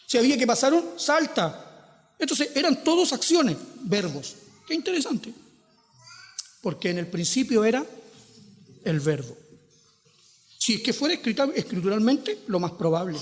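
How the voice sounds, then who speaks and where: A man's voice: very high-pitched, big pitch swings, normal speed, pauses often, big-room sound. A Chilean man preaching a sermon, posing rhetorical questions and stretching out quoted scripture with emphasis. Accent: Chilean Spanish. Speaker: pastor.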